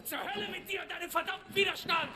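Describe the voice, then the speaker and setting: A man's voice: very high-pitched, steady tone, fast talker, very noisy. An actor playing a terrified male prisoner under interrogation, pleading, then taunting, in a game cutscene. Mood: panicked pleading. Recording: game cutscene audio over music.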